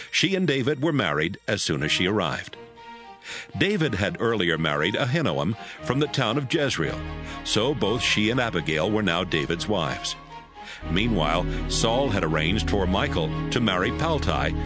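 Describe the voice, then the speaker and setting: A man with a fairly low voice and lively intonation narrates scripture. His delivery is measured, scripted storytelling for a dramatized audio Bible, with faint music underneath.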